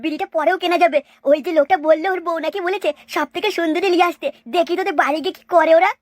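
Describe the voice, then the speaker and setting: A woman voicing a foolish, excitable village man in a comic cartoon, blustering and repeating himself. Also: very high voice, very fast, no room sound, clean studio sound. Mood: puzzled, scheming. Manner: repetitive, blustering.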